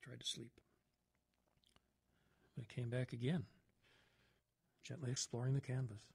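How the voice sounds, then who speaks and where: A man playing a haunted woodsman survivor in a horror audio drama, telling his tale in a halting, dramatic way with swelling emphasis. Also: medium pitch, little pitch movement, vocal fry, breathy edge, heavily acted. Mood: fearful, agitated. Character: haunted male woodsman survivor recounting a terror.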